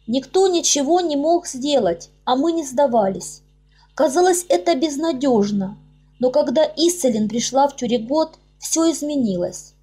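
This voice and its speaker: A woman's voice: sits high, expressive, normal speed, dark tone. A woman voicing a sorrowful young male elf in an audiobook, telling things in a pained, earnest way. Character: sorrowful young male elf recounting his people's plight. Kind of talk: audiobook character reading. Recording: music under the voice.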